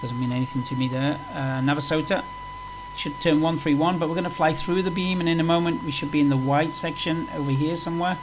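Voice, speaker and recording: A man's voice: a little high, fairly even intonation, fast talker. A man giving casual, unscripted flight simulator commentary, rambling along in an easygoing way as he plays and explains. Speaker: flight simulator video presenter. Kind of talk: casual tutorial commentary while playing. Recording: clearly noisy with background hum.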